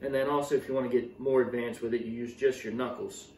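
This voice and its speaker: Young guy demoing dough in a home kitchen, steady coaching tone, recorded from across the room with faint hiss. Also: mid-range voice, fast talker. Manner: encouraging, steady coaching with frequent "yep, perfect". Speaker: pizza maker teaching dough stretching. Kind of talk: casual cooking demonstration. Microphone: camera mic from across the counter. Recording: echoey kitchen, voice a bit far off, faint room noise.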